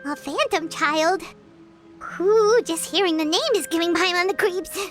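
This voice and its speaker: Very squeaky, excitable little fairy girl. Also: unhurried. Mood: nervous. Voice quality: squeaky, childlike. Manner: exclamatory, refers to self in third person. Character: small, excitable, food-loving girl fairy companion. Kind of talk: animated video game dialogue. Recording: music behind the voice.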